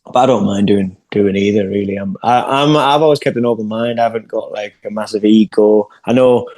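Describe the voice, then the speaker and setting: A man's voice: fairly low pitch, steady tone, brisk, darkish tone, dry recording. A young English man, a singer-songwriter, chatting in an interview over a video call and often restarting his sentences. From England. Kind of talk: casual interview chat.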